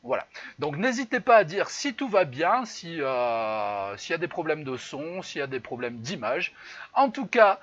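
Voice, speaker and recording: A man's voice: a little high, sing-song, normal speed, a bit bright, lo-fi sound. A man from France hosting a live online improv show, talking loosely with many fillers and restarts. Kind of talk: casual live-stream hosting. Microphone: computer mic on a live stream.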